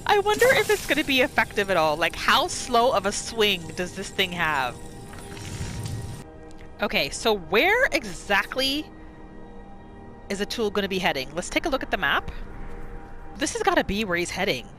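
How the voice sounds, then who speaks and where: Gamer lady doing chatty roleplay commentary, bright voice, really animated, bit of music behind her. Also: mid-range voice, normal speed, long pauses. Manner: expressive, chatty, narrating characters' thoughts in third person. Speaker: gaming channel host. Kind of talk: casual roleplay game commentary.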